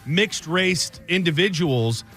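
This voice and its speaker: A man's voice: a little high, animated, measured pace, bright, forceful tone, faint music behind. A man from Michigan hosting a radio show, telling a rambling, self-deprecating story in casual banter.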